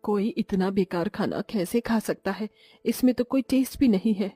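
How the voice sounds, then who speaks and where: A snobbish, scolding cartoon mother-in-law, played by a woman. Her voice is soft-toned and slightly rough, closely recorded and dry, over music. She is sharp-tongued and sarcastic. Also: mid-range voice, normal speed, no room sound, natural voice. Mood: scornful, bossy. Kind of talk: Hindi cartoon moral-story voice acting.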